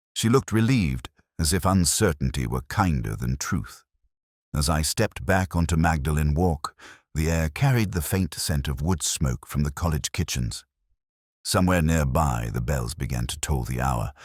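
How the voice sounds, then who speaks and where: A man narrating a scripted first-person detective story in a measured, literary, reflective way. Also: a bass voice, dry recording, studio quality.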